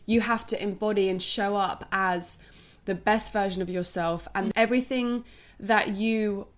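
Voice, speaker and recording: A woman with a slightly croaky voice, chatting casually as a podcast co-host and leaning on "like" as a filler. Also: medium pitch, fairly even intonation, measured pace. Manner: chatty, uses "like" a lot, speeds up. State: croaky voice, clearing throat.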